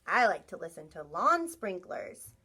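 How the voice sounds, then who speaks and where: Bright, animated woman reading to children. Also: mid-range voice, unhurried, slightly rough. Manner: animated, acts out sound effects, asks listeners questions. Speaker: teacher reading a picture book aloud to young children. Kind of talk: expressive read-aloud storytelling.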